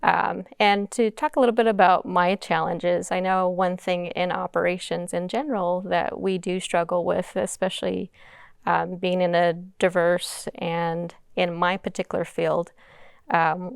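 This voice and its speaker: A woman moderating a panel on a conference stage, her voice low-pitched with a gravelly edge. She talks haltingly, stopping often to gather her words. The sound is smooth and dry. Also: little pitch movement, no echo. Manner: halting, frequent ums.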